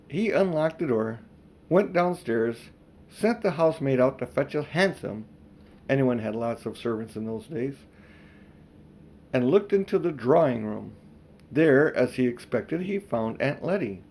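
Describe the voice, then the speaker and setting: An older man reading a children's storybook aloud as expressive narration. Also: mid-range voice. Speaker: audiobook reader.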